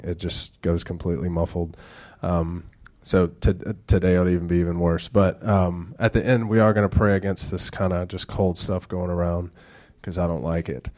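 Deep-voiced pastor guy, 30s, stuffy nose, kinda monotone, slow and low, cracking dad jokes. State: stuffed-up nasal congestion. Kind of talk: casual sermon with announcements and dad jokes.

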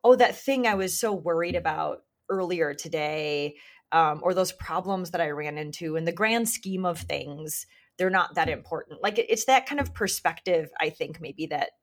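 A woman's voice: on the low side, animated, moderate pace. A woman co-hosting a conversation, speaking earnestly and reflectively, with many fillers as she works out her thoughts. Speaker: podcast co-host and community leader. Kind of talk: casual conversational interview.

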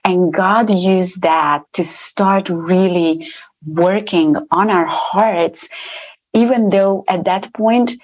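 A woman's voice: on the low side, slow. A woman from Romania giving an earnest faith testimony as an interview guest. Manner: earnest, steady storytelling.

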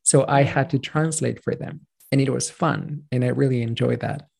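Gravelly, husky-voiced Chilean man. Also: mid-range voice, fairly even intonation, normal speed, a little mellow. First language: Spanish. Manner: earnest, rambling, thinks aloud with restarts. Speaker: pronunciation coach, guest. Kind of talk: casual interview talk.

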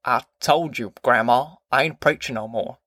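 A performer voicing a gentle, weary former preacher from a novel, quiet and plain-spoken. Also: medium pitch, normal speed, no room sound, clean studio sound. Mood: reluctant.

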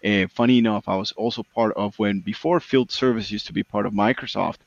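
A man's voice: on the low side, steady tone, brisk, gravelly, dry recording, lo-fi sound. A man who founded a consulting firm, talking earnestly in an interview and often adding "right?". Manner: earnest, repeats words, tag "right?". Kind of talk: business interview.

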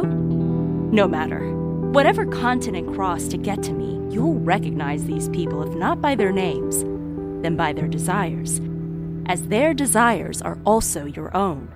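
An actress playing a sly, conspiratorial woman storyteller in an audio drama, speaking theatrically and teasingly straight to the listener. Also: medium pitch. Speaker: narrator character of an audio drama. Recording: music playing behind the voice.

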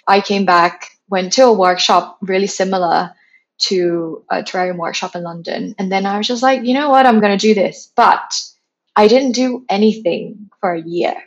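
Woman from Thailand, thirties, chatty interview guest, lots of "like", mid-pitched voice, slightly dull lo-fi sound. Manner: chatty, frequent "like", animated retelling. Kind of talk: casual interview conversation.

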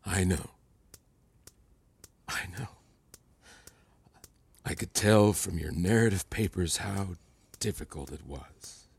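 Manipulative male therapist, condescending, deliberate, self-assured, audio drama, dry close sound. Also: low-pitched, gravelly, a little mellow, husky, dry recording, exaggerated voice. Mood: smug, soothing. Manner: patronizing, deliberate, needling. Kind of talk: fiction podcast acting.

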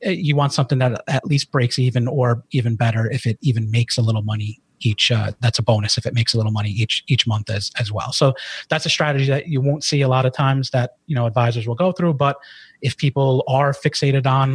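A man's voice: mid-range voice, steady tone, quick pace, breathy edge, no room sound. A middle-aged man, a financial advisor, explaining plans in a podcast chat with many restarts and fillers. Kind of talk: podcast conversation.

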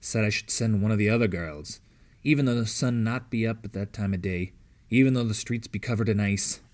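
A man voicing a blunt, grumbling army wife with folksy, rough grammar in a storybook reading. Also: lowish voice, fairly quick, a little mellow, close to an everyday voice. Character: blunt, gruff pregnant British army wife.

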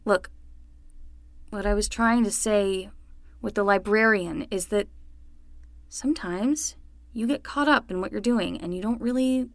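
A woman's voice: mid-range voice, measured pace, pauses often, dry recording, natural voice. A woman playing a young summer nanny, patient and wry, talking casually and frankly in a naturalistic fiction podcast.

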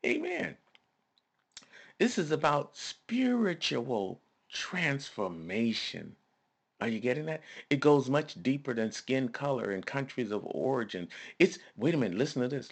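A man's voice: mid-range voice, big pitch swings, unhurried. A man preaching a sermon in a conversational, emphatic way, repeating phrases and calling on listeners to respond.

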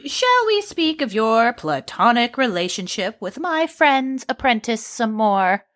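A woman playing an imperious, whimsical sorceress in a comedic fantasy audio drama, with a melodramatic, haughty, drawn-out delivery. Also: a little high, sing-song, fairly bright tone. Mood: teasing, aloof. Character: imperious, theatrical, whimsical sorceress mentoring an apprentice.

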